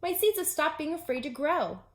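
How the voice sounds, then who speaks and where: A woman's voice: high voice, big pitch swings, normal speed, pitched above the performer's own voice. A woman voicing an anxious, eager male toad in a children's storybook, emphatic and childlike. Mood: relieved, excited. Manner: exclamatory, emphatic.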